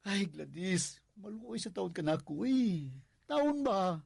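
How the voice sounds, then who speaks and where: An actor with a Visayan Filipino accent playing a worried, devoted husband in a radio drama, sighing and pleading. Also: male voice, sits high, big pitch swings, measured pace, gravelly, a little mellow, slightly rough, heavily acted. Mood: pleading, exasperated. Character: worried, long-suffering, devoted husband narrating his story.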